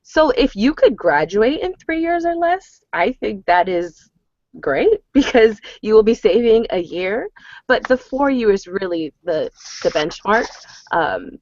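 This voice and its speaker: A woman's voice: mid-range voice, lively intonation, a little slow, darkish tone, dry recording. A woman working as a college advisor, explaining and answering questions on a conference call. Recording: dull lo-fi conference call line.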